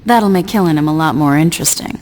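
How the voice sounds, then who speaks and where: A woman's voice: low-pitched, fast, a little mellow, lightly acted. Woman as a tough, commanding gang boss. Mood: curt, commanding. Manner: curt, commanding, sarcastic. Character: tough, bossy female street gang leader. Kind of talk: video game cutscene acting.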